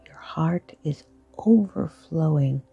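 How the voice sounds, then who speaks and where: Soft whispery woman leading a sleep meditation, super slow, slightly dull recording. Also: low-pitched, vocal fry, slightly rough, dry recording, soft background music. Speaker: meditation guide.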